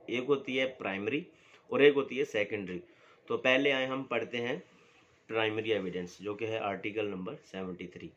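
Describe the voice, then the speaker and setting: A man's voice: medium pitch, fairly bright tone. A man lecturing on law. He explains step by step, asks a question and then answers it himself. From Pakistan. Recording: dull lo-fi recording.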